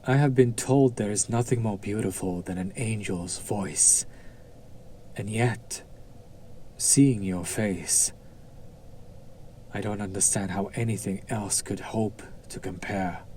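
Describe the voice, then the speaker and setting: A lowish male demon's voice, acted by a man, drawling slowly over noticeable background ambience. He is smug and seductive, coaxing with silky condescension and menace, as in an intimate roleplay audio drama. His deliberate pauses let each taunt hang. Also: mellow, a little hiss or hum. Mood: teasing, coaxing.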